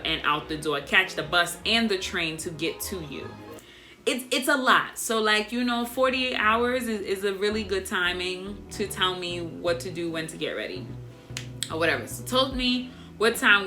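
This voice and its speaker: A young woman vlogger telling a dating story to her viewers in a casual, chatty way, speaking softly without projecting. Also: lowish voice, moderate pace, faint music behind. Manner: soft, doesn't project, monotone by her own account; chatty and animated, addresses viewers as y'all.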